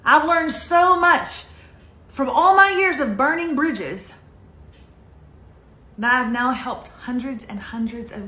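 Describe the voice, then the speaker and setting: A woman's voice: a little high, a bit bright. A middle-aged American woman giving a motivational talk to camera, emphatic and dramatic with sarcastic humor. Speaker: online show host and relationship coach. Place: home kitchen, indoors. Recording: roomy, echoey kitchen sound.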